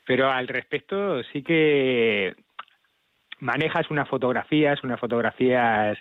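A man's voice: mid-range voice, measured pace, no room sound. A man from La Mancha, a music journalist asking polished prepared interview questions. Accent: La Mancha Spanish. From La Mancha, Spain. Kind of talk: radio interview. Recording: tinny narrow-band radio sound, dry.

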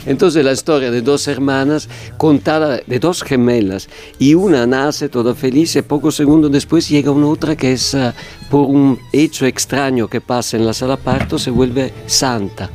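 Guest: man from Italian-speaking Switzerland, mid-pitched voice, Italian accent with a Mexican touch, playful storyteller, light music under him. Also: fairly even intonation, moderate pace, darkish tone. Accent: Swiss Italian, with some Mexican Spanish. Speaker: guest, theatre director. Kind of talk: radio interview.

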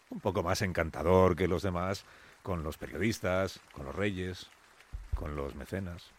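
A man with a Castilian Spanish accent narrating a scripted radio story in a dramatic, deliberate way, in short suspenseful phrases. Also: deep voice.

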